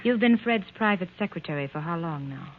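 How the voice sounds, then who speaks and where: An actress playing a cool, pointed, jealous wife in 1940s radio mystery style. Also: female voice, fairly low pitch, expressive, fairly quick. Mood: veiled jealousy. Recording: muffled tinny 1940s radio broadcast.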